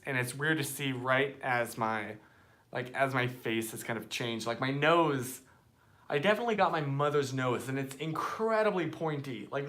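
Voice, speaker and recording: A man's voice: on the high side, a bit bright. A young American man chatting casually and rambling on a live stream from his phone, sitting outdoors at night. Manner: rambling, chatty, reads out viewer comments.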